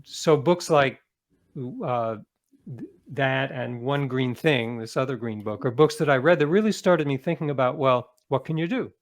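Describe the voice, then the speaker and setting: A man's voice: medium pitch, normal speed. A man speaking as an interviewed author, explaining ideas in a reflective, conversational way with small qualifying asides.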